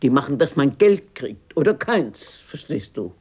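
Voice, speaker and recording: An actress playing a blunt, fearless, warm-hearted old grandmother in a radio drama, brisk and outspoken, scolding yet affectionate. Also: rumbling low, big pitch swings, breathy edge, muffled recording, full character voice. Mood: scornful, explaining. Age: older.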